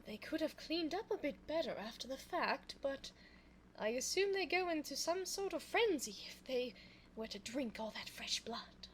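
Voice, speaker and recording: A woman playing a sly, evil, seductive immortal vampire in a close-miked ASMR audio roleplay, theatrical and coy, slipping into breathy asides. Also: high-pitched, lively intonation, normal speed. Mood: coy, evasive. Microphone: close binaural 3Dio microphone. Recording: faint background noise, close binaural mic.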